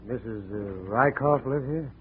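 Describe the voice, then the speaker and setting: An actor playing an awkward, somber police sergeant in a 1950 radio drama, subdued and halting. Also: male voice, medium pitch, close to an everyday voice. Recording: muffled, hissy 1950 radio recording.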